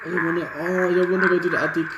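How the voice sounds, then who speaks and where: Young guy lets player reading a visual novel out loud, casual, trips on words, echoey room, noisy background. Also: sits high, steady tone, racing. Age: young adult. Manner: informal, stumbles while reading. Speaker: let's player. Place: indoor room at home. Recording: roomy echo, clear background noise.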